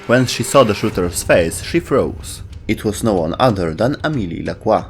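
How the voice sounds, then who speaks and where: A man's voice: fairly low pitch, lively intonation, moderate pace, slight background noise. A man giving scripted narration as the host of a video game lore show.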